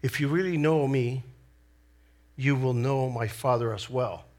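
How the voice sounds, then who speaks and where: A middle-aged man from El Salvador with a Salvadoran Spanish accent, whose first language is Spanish, delivering a church sermon in an earnest, deliberate way. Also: mid-range voice, a little mellow. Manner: earnest, slow and deliberate with pauses. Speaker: lay preacher.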